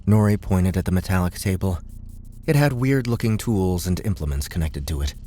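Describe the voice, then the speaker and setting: A man's voice: sits low, animated, brisk, dark tone. A man narrating scripted fiction as an audiobook narrator.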